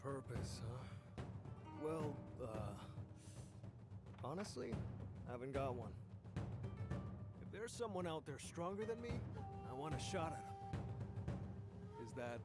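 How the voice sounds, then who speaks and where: A man's voice: medium pitch, animated, takes it slowly, pauses often. An actor playing a brash, eager young male street fighter in a video game cutscene, with a breezy, cocky, informal manner. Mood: puzzled, frank. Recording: loud music behind game dialogue.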